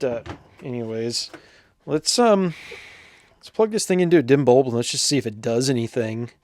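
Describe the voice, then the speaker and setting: A man's voice: medium pitch, no room sound. Man talking casually through hands-on repair, dry sound. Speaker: vintage radio repairer presenting his own video. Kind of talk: casual hands-on repair narration, thinking aloud.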